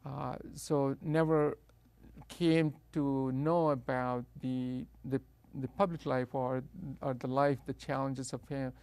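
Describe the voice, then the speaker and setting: A middle-aged man from South India with a South Indian accent, telling his life story as an interview guest, pausing often on fillers and restarts. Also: medium pitch, level tone, darkish tone, clear, resonant tone, no echo. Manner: hesitant, many uh fillers and restarts.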